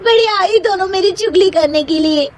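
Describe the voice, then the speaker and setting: A woman voicing a squabbling, whiny young Indian daughter-in-law in an animated moral story. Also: extremely high pitch, normal speed, pitched above the performer's own voice. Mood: whiny, indignant.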